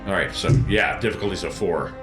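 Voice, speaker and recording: A man playing a tabletop game, chatting casually in a joking, halting way with a roomy echo around him. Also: deep voice. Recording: roomy echo, faint music behind.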